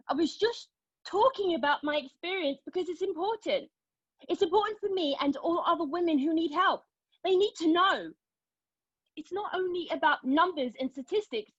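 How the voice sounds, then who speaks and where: An actress in a table read of a play, playing an agitated, defiant, outspoken woman who speaks haltingly and emotionally. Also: very high-pitched, lively intonation, sharp tone, no room sound, lo-fi sound, heavily acted. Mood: outraged. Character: defiant, agitated woman arguing with a machine, giving personal testimony.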